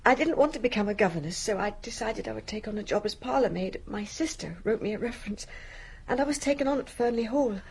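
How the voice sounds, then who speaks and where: Young genteel woman, distressed wife, earnest, polite, raised pitch, husky, radio drama. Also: lively intonation, fast talker, darkish tone. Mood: earnest, confiding. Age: young adult.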